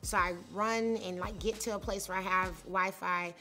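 Founder, woman, 30s: high-pitched voice, candid chatty storytelling with fillers. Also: steady tone, moderate pace, pushed and bright. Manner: candid, conversational, with many fillers. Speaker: startup founder. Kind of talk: personal story told in interview. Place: studio set with leafy wall.

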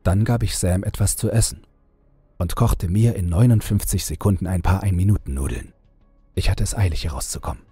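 A man reading a scripted horror audiobook in a measured, dramatic storytelling manner. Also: low voice, warm tone, breathy edge, no echo. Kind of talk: scripted creepypasta audiobook narration. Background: background music.

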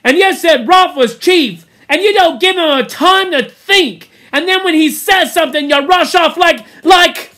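A woman's voice: unhurried, few pauses, a bit bright. A woman voicing an indignant, panicky young schoolboy who whines and scolds in ungrammatical English for a storybook reading. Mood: indignant scolding. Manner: ungrammatical, shrill protesting tirade.

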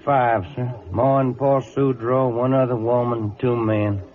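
An actor playing a steady, dutiful cavalry sergeant, terse and matter-of-fact, in 1950s radio drama style. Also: male voice, medium pitch, unhurried, a little hiss or hum, natural voice. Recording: tinny old radio broadcast.

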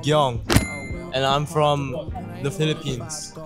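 A man's voice: medium pitch, fairly even intonation, takes it slowly, over music. A young man giving jokey, offhand introductions among friends at a night-time outdoor patio. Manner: joking, offhand.

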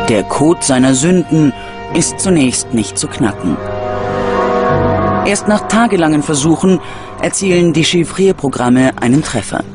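A male narrator with a fairly high voice delivers scripted true-crime documentary narration. His delivery is measured and dramatic, with music clearly playing behind him.

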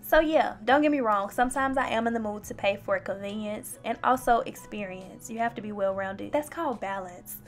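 A woman's voice: mid-range voice, a little fast, hardly any pauses. A young woman narrating a beauty vlog, chatty and playful, talking straight to her viewers. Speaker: beauty vlogger. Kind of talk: casual voice-over narration. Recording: faint background music.

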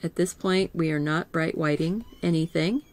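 A middle-aged woman teaching a live painting lesson in a calm, soothing voice, gently thinking aloud as she works. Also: low-pitched, no room sound. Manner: slow, gentle, thinking aloud with small laughs. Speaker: art teacher.

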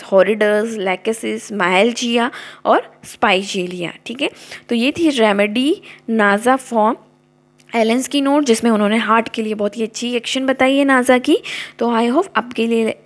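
A woman teaching a lecture in a high voice on the she weaves in. She works through a textbook page line by line, pausing often to check that her listeners follow. She speaks as a voice-over on a close mic. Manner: explains each line, often checks with "ठीक है". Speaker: homeopathy lecturer. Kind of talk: explanatory lecture over annotated book pages.

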